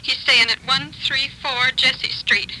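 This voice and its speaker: An anxious wife calling in a tip, urgent and breathless. Her voice is raised and very bright, and it comes through a thin telephone line with faint noise. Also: high-pitched, steady tone, takes it slowly, heavily acted.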